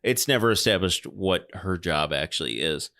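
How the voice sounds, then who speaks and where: Low-voiced man, sardonic film critique. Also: expressive, moderate pace, gravelly, sharp tone. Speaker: podcast host. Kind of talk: casual film review chat.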